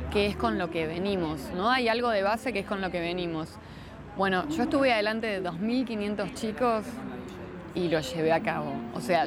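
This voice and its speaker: A woman with a Rioplatense Argentine accent chatting informally and giving frank opinions as an interview guest. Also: medium pitch. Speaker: interviewed guest, children's science entertainer and writer. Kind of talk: casual chat, answering interview questions. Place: busy brewery bar hosting an event. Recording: crowded venue with urban background noise. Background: crowd and street noise.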